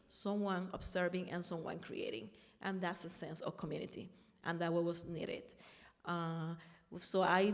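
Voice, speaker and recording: Middle-aged Puerto Rican woman, Spanish accent, earnest panel speaker; reverberant room. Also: fairly low pitch. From Puerto Rico. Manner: earnest, searching for words, frequent restarts. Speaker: panel speaker, artist and activist. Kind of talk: personal talk at a panel.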